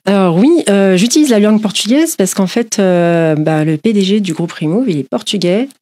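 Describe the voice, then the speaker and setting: A woman in her forties answering interview questions in a friendly way, often pausing on fillers as she searches for words. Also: medium pitch, lively intonation, warm tone. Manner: hesitant, many fillers, friendly. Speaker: guest.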